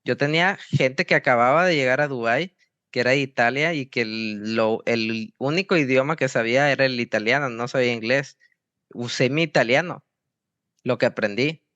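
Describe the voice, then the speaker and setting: A young man from Mexico, a football coach answering interview questions in a halting way with many restarts. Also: medium pitch, fairly bright tone, no echo. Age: young adult. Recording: dry, slightly dull sound.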